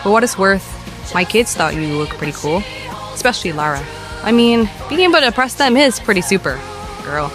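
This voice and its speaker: A woman plays a supportive friend with a mid-pitched voice. She talks casually, with a teasing touch. Music runs clearly behind her in this audio drama. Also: sing-song, a little fast, natural voice. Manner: casual, conversational.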